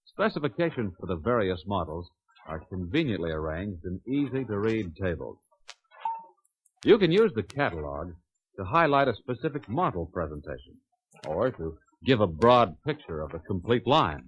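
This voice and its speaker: A man's voice: fairly low pitch, very animated, normal speed. A man playing a confident, plain-spoken American truck salesman, giving a persuasive, folksy scripted testimonial in a 1950s training filmstrip. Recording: muffled, noisy 1951 filmstrip soundtrack.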